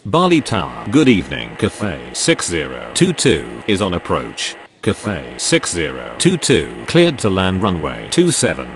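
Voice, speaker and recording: A man's voice: low voice, wildly swooping pitch, unhurried, few pauses, full character voice. A man playing a pilot and air traffic controller, giving formal, clipped radio callouts in standard aviation phraseology. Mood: businesslike.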